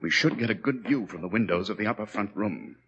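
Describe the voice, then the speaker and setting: An actor playing a cool, commanding middle-aged detective in 1940s radio drama style, brisk and clipped. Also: male voice, on the low side, lively intonation, a bit bright, husky, lo-fi sound, exaggerated voice. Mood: hushed.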